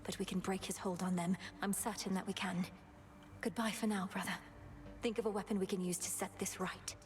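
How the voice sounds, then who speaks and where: A woman playing an earnest, resolute young witch princess in a video game, speaking in a formal, measured manner. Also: medium pitch, level tone, natural voice. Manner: formal, measured, archaic phrasing. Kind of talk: video game drama acting.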